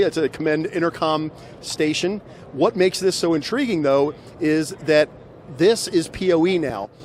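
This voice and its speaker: Middle aged guy presenting at a trade show, voice a bit high, faint busy floor noise. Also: darkish tone. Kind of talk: promotional product demonstration, conversational. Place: large busy trade show hall, indoors. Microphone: handheld microphone. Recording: clear background noise of exhibition hall.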